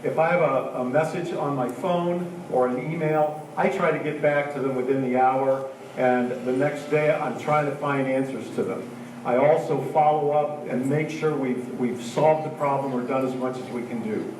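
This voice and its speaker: A man's voice: medium pitch, moderate pace, darkish tone, a little hiss or hum. An older man, a retired choir director and council member, giving an earnest, orderly candidate speech. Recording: echoey hall from far off.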